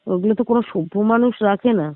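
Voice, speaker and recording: Tinny high-pitched, fast-talking man on phone. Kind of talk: intimate casual phone chat. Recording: tinny phone-line audio, roomy echo.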